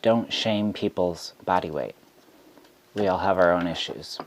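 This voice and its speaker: A man who reads tarot, speaking straight to camera in a frustrated rant, deliberate and halting with frequent ums. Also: low-pitched, a little hiss or hum. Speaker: tarot reader.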